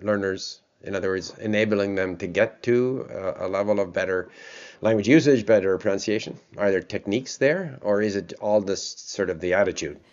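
Deep-voiced male host on muffled audio. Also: a little mellow. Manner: thoughtful, reflective, a little halting. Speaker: interview host. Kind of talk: conversational interview questions.

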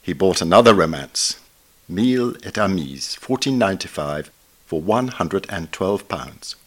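Low-pitched man reading a formal review. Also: animated. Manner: formal, measured, scholarly. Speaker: book reviewer. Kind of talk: scripted book review read aloud.

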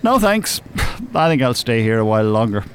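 Older Irish male, acted for radio drama: dry, teasing, wordy, animated pitch, light ambience behind. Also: a little fast, slight background noise, close to an everyday voice. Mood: amiable. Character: dry, droll, mock-pious old married man. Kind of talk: naturalistic radio drama acting.

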